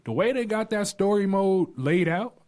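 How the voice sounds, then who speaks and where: A man's voice: sits high, expressive, moderate pace, darkish tone. An American man on a podcast, talking informally and arguing his opinions in casual conversation. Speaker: podcast panelist.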